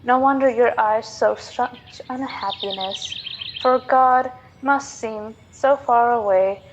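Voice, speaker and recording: A woman's voice: a little high, slow, background noise. A woman as a frank, trusting young minister's daughter. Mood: gentle, candid. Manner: earnest, plain-spoken, fearless. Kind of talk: student radio drama acting.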